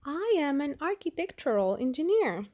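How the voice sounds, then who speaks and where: A woman voicing a patient, knowing mother in a picture book, explaining in a measured way. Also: high-pitched, sing-song, warm tone, pitched above the performer's own voice.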